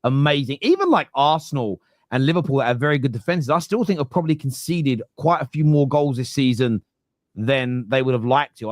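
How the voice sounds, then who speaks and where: A young man with a Cockney accent hosting a football fan podcast, teasing and animated, often talking over the others. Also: mid-range voice, no echo, clean studio sound. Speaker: podcast host. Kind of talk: heated football fan debate.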